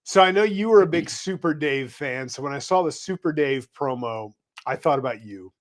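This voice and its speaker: A man's voice: a little high, lively intonation, normal speed. A middle-aged man in casual conversation as a podcast co-host. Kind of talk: casual chat.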